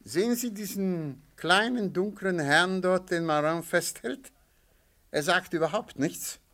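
An actor playing a shrewd amateur sleuth in a radio play, speaking in a measured, politely ironic way. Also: male voice, high-pitched, fairly bright tone. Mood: quietly triumphant.

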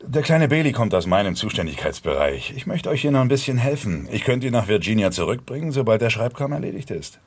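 An actor playing a polite, controlled and quietly sinister police officer in a radio drama. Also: male voice, medium pitch, sing-song, fast, hardly any pauses. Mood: polite, probing. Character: smooth-talking, sinister male police officer from out of state.

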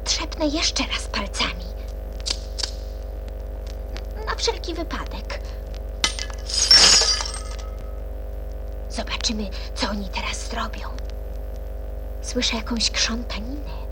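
A plucky little girl from a children's radio play, curious and thinking aloud. Her high voice is very melodic and expressive, with prominent music behind it. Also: a little slow, poor audio. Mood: sly, hushed. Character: curious, plucky young girl lost in wonderland.

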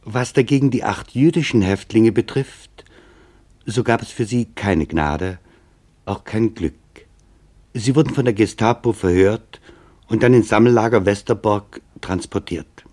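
An actor playing a sober reporter who formally narrates a documentary radio play about wartime events. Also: male voice, fairly low pitch, a little slow, darkish tone. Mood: sober, grave.

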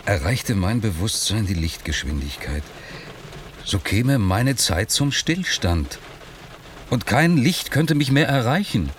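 A man's voice: lowish voice, sing-song, takes it slowly, slight background noise. An actor playing a guarded, sceptical, brooding man in a German radio play, speaking in a terse, probing, dramatic way. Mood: brooding, agitated. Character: guarded, sceptical, brooding male patient with secrets.

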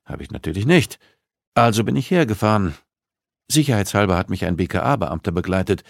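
A man voicing a female police psychologist who is polite, persistent and gently probing. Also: fairly low pitch, animated, a little fast, vocal fry, no echo, clean studio sound. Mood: polite, businesslike.

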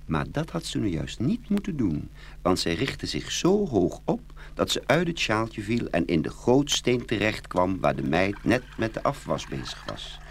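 A man narrating a children's fairy tale in an expressive, scripted storytelling style. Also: on the low side, measured pace, gravelly. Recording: hum and steady noise.

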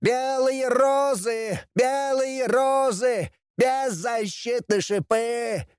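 A man's voice: high-pitched, big pitch swings, normal speed, darkish tone, no room sound, studio quality, pitched above the performer's own voice. A man voicing a bratty young demon prince in a storybook reading, with an insolent, whining, taunting manner. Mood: loud singing. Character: bratty, cheeky young demon prince, mocking his father.

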